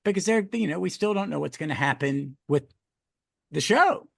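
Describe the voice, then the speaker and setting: Gravelly man in casual chat. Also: a little high, expressive, normal speed, a bit bright, no room sound, clean studio sound. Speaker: podcast guest.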